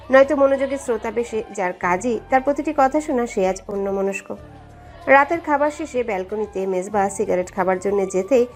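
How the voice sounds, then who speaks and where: A woman's voice: a little high, darkish tone. A woman narrating a scripted story in a dramatic storytelling style. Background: background music.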